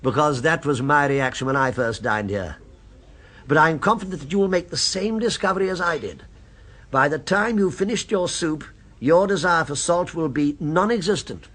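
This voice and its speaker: A man's voice: a little high, normal speed, a bit bright. An actor in an old radio drama playing a refined man obsessed with a restaurant, who speaks in a precise, insinuating, knowing way. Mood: knowing, earnest. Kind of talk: 1970s radio drama acting. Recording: old radio broadcast recording.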